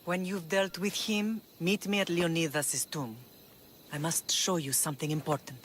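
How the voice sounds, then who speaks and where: A woman's voice: on the low side, steady tone, moderate pace, a little hiss or hum, natural voice. A woman playing a composed, resolute Spartan mother of a grown son in a video game cutscene, solemn and commanding.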